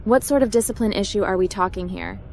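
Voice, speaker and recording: A woman's voice: mid-range voice, fast talker, vocal fry, a little mellow, slight background noise, close to an everyday voice. A woman voicing a cool, direct hacker captain in a video game roleplay, polite and businesslike.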